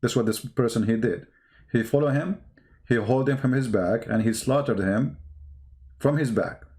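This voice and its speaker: A man's voice: mid-range voice, moderate pace, darkish tone. A man who speaks English as a second language, giving impromptu polemical commentary in an emphatic, repetitive way with rhetorical questions. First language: not English. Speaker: online commentator.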